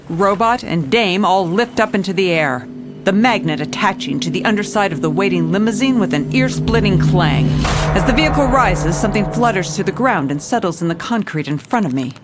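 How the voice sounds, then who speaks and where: Woman acting hard-boiled, deadpan police commissioner. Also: fairly low pitch, natural voice. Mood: grim, resolute. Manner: dry, deadpan. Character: female police commissioner, hard-boiled, dogged, world-weary investigator. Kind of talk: noir detective narration and dialogue.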